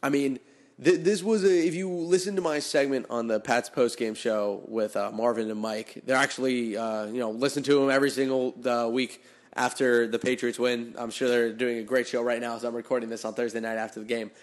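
A man speaks as a solo sports podcast host in a medium-pitched voice. He recaps with emphatic, hyperbolic excitement, often breaking off and restarting his phrases. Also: a little fast.

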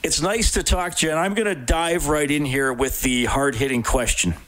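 A man's voice: a little high, moderate pace, fairly bright tone. A man hosting a sports radio show in a conversational interviewing style.

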